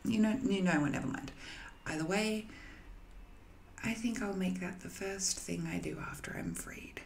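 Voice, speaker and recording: A woman playing an ancient banished evil spirit in an intimate audio drama, seductive, lonely and sly, with a coy, breathy delivery that often slips toward a whisper. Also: medium pitch, normal speed, a little hiss or hum, lightly acted. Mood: teasing, seductive.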